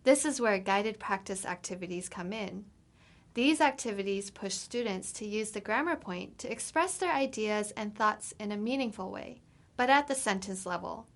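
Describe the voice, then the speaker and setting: A woman English instructor giving a scripted grammar lesson in a measured, explanatory way. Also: medium pitch, lively intonation.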